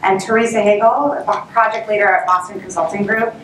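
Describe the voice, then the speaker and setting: A woman's voice: medium pitch, steady tone, fast talker, fairly bright tone. A woman moderating a conference panel in a casual, upbeat way with lots of fillers. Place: conference stage. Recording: roomy, distant mic, audible noise.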